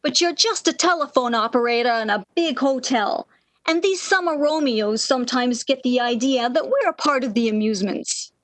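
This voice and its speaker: A woman's voice: high voice, animated, moderate pace, no echo. A woman playing a brassy, motherly and worldly-wise night switchboard operator in 1930s radio style, slangy and teasing with endearments. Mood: skeptical, caring.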